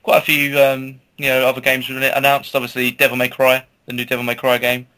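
A man's voice: medium pitch, monotone, a little fast, pushed and bright. A man co-hosting a gaming podcast in casual chat, hesitant and stammering but blunt. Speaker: podcast co-host.

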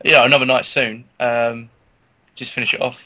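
A man's voice: mid-range voice, level tone, a little fast, pushed and bright. A British man chatting casually as a podcast co-host. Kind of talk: casual chat.

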